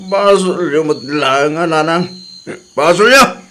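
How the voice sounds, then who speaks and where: A man plays an earnest young man in an Ilocano radio drama. His voice sits quite high for a male, with an Ilocano accent and an aggrieved, pleading, emotional delivery. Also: slow pace, fairly bright tone, slight background noise. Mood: bitter. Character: earnest young son, aggrieved jilted boyfriend.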